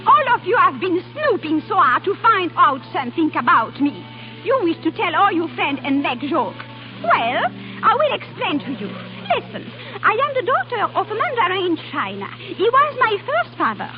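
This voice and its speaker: An actress playing a passionate, volatile young foreign woman in a vintage radio drama, with halting, dramatic delivery and uneven foreign-sounding English. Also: extremely high pitch, sing-song, soft background music. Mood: furious outburst. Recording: old radio broadcast, tinny band-limited, hiss.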